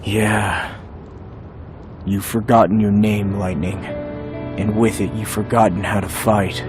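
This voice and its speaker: A man playing a young warrior hero in a video game, pragmatic and dry, with a steady, matter-of-fact delivery. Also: on the low side, takes it slowly. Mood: earnest. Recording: loud music under the voice.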